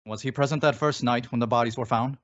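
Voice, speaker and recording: A man playing a bold, inquisitive gentleman detective in an old-style radio mystery, theatrical and self-assured. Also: mid-range voice, steady tone, fast, natural voice. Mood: probing. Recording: dull lo-fi radio play recording.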